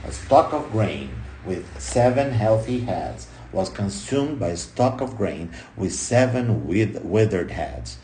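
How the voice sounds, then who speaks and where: A man reading a book aloud expressively, in punchy short phrases with dramatic pauses and emphasis. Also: on the low side, lively intonation, dark tone, a little hiss or hum, low-quality recording. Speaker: audiobook reader.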